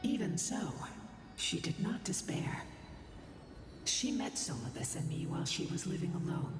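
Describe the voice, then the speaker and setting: A woman playing an ancient, solemn and wistful winged guardian in a video game, with a breathy voice and grave, measured storytelling. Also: fairly low pitch, very animated, distant mic. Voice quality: breathy, whispery. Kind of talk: video game dramatic dialogue. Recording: voice in long echoing reverb, music behind. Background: game music.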